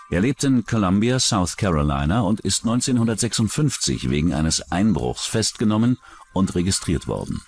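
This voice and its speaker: A man reading scripted narration for a true-crime documentary. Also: low-pitched.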